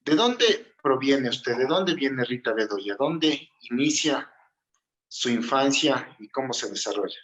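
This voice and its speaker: A man's voice: mid-range voice, lively intonation, measured pace, fairly bright tone, rough recording. A man interviewing a guest, asking polite, slightly hesitant questions.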